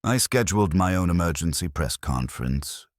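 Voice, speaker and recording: Man, very deep bass voice, animated intonation, scripted story narration, deliberate delivery. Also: dry recording, clean studio sound. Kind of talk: scripted dramatic first-person audiobook-style narration.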